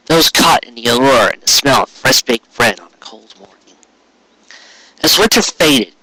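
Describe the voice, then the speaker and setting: A man narrates a story in a mid-pitched, bright voice. His delivery is expressive and dramatic, suited to florid scripted fiction. The sound is dry and close. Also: no room sound, poor audio.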